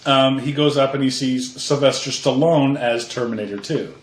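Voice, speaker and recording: A man's voice: medium pitch, moderate pace. A man from Canada co-hosting a movie podcast, making dry, wry asides. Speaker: podcast co-host. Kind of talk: casual movie chat. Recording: roomy echo.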